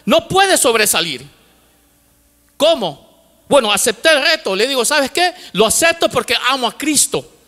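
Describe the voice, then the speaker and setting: A man's voice: very high-pitched, big pitch swings, measured pace, pushed and bright. A middle-aged male pastor preaching fervently on a church stage into a handheld mic, repeating phrases for emphasis, a native English speaker who mixes in English words. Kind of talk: sermon. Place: large church stage with lights. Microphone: handheld microphone. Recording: long hall reverb from church auditorium.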